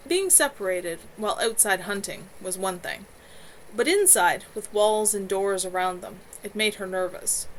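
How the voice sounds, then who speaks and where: A woman author reading her own novel aloud in a steady, measured way. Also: medium pitch, a little hiss or hum, poor audio.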